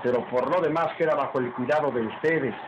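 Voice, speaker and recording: An actor playing a stern, commanding master of a household in a radio drama, speaking emphatically. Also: male voice, medium pitch, brisk, close to an everyday voice. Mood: firm, insistent. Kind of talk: old radio drama acting. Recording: dull, noisy old radio recording.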